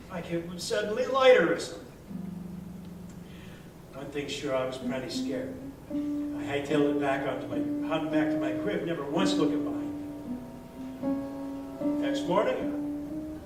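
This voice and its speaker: An American man giving a dramatic first-person reading of a hardboiled short story in a colloquial, streetwise manner. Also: high-pitched. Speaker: story reader. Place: indoor arts venue, live performance. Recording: echoey live venue, distant mic, music behind. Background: live guitar, harmonica and percussion music.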